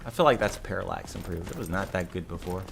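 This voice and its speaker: A man with a slightly raspy voice giving casual live game commentary as a streamer, rambling and correcting himself as he thinks aloud. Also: deep voice, animated, fast talker, background noise.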